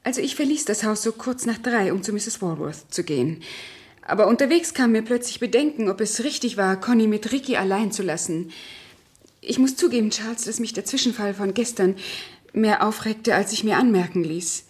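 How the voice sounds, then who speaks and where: An actress playing an evasive, secretive family friend in a German radio crime play, smoothly explaining things away. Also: female voice, mid-range voice, brisk, a little mellow, lightly acted. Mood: apologetic, explaining. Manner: explains herself smoothly, plays down worries.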